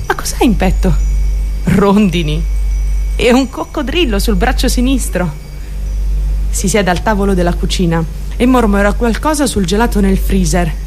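Casting: woman to play troubled teenage girl, confiding and intense, for a radio monologue, mid-pitched voice over music. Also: expressive, moderate pace, a little mellow, lightly acted. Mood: excited confiding.

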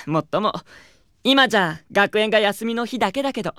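A woman playing an earnest teenage schoolboy in an anime audio drama, theatrical, moving between hushed reflection and fervent awe. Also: medium pitch, lively intonation, brisk, smooth, no room sound. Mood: fervent admiration. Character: earnest, excitable teenage schoolboy, devoted admirer of an idol.

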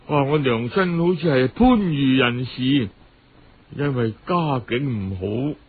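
Man voicing a frail young Cantonese woman, hushed, husky. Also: mid-range voice, expressive, slow, narrow, tinny audio, pitched below the performer's own voice. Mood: sad, weak. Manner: weak, apologetic, sighing, hesitant. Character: frail, humble, tearful young orphaned woman. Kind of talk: dramatized Cantonese storytelling.

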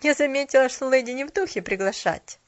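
A woman's voice: fairly high pitch, normal speed, muffled recording, close to an everyday voice. A woman doing a weary, bitter shopgirl. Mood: cool bitter. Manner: cool, tired, faintly bitter. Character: weary, bitter young shop cashier woman.

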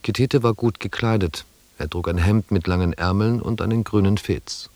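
An actor as a sober narrator recounting an expedition in a radio drama. His voice is low, in a dry studio sound. The delivery is literary, measured and descriptive. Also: a little mellow, no echo, close to an everyday voice. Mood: detached.

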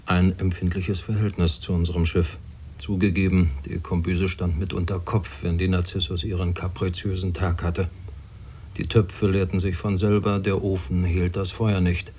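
An actor playing a wry sailor who narrates a radio drama in measured literary sentences. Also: male voice, sits low, a little mellow. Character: wry sailor recalling life aboard his ship. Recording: background noise under the voice.

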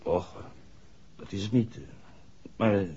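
A man's voice: on the low side, steady tone, slow pace, dark tone, slightly rough, full character voice. A man acting an earnest, hesitant young village garage worker in a 1960s radio play, speaking deferentially. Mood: uneasy. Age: young adult. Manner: hesitant, deferential to his questioner. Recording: dull 1960s radio drama recording.

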